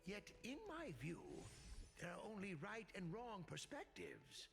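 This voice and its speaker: A man's voice: high voice, wildly swooping pitch, measured pace, vocal fry, exaggerated voice. An actor playing a stern, dogmatic male dignitary in a fantasy video game, formal and declamatory. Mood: stern, disapproving. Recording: loud music under the voice.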